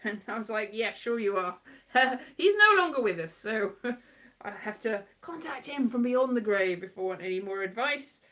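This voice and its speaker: Scatty, high-pitched woman rambling brightly. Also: expressive, moderate pace. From Wales. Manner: scatty, digressive, thinking aloud, muttering asides to herself. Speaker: writer and performer, host. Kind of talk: rambling casual monologue.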